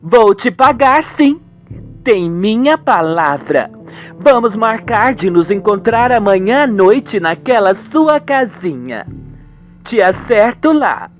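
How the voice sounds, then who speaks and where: A man voicing a scheming, ruthless villainess in a radio soap, imperious and deliberate. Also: high voice, very animated, soft background music, heavily acted. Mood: businesslike.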